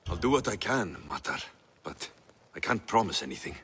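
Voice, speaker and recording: A man's voice: lowish voice, big pitch swings, normal speed, a bit bright, husky, exaggerated voice. An actor voicing a young male mercenary warrior in a game cutscene, earnest and blunt. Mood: calm. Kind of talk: video game cutscene acting. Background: game score music.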